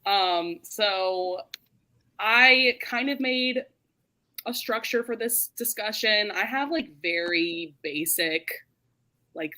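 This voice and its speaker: A woman's voice: medium pitch, slow, sharp tone. A woman hosting a book discussion in a casual, giggly, informal way. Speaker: host of a book readalong live show.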